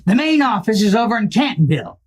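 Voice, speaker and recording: A woman's voice: fairly high pitch, sing-song, fast. A woman playing a cocky, knowing male outlaw lieutenant in a Western radio play, folksy and condescending, with an American accent. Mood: condescending, boastful.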